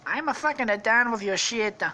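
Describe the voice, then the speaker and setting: A woman's voice: medium pitch, drawn-out words, a bit bright, a little hiss or hum, voice pushed up. A woman voicing an aggrieved, volatile man, a cartoon plumber's jilted brother, in a melodramatic manner. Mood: furious.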